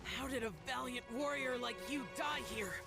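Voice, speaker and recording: An actor playing a stern, solitary young immortal warrior in a video game, speaking in a curt, grave, proud way. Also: male voice, very high-pitched, normal speed, creaky, over music. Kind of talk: dramatic video game voice acting.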